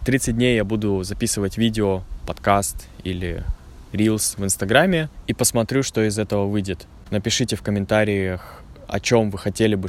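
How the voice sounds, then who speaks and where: A man talking casually to language learners while outdoors in a forest, speaking clearly and unhurriedly. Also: fairly low pitch. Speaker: language teacher vlogger. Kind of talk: casual vlog talk to learners.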